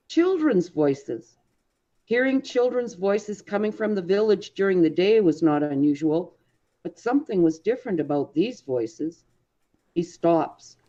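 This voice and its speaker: A woman reading a story aloud as an audiobook, with expressive, dramatic and deliberate phrasing. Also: on the low side, muffled recording. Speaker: audiobook reader. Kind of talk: expressive scripted story narration.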